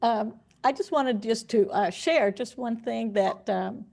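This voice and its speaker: A woman's voice: on the high side, unhurried, creaky, a little mellow. A woman from Cuba, an attendee at an online seminar, sharing a personal story hesitantly, with many fillers.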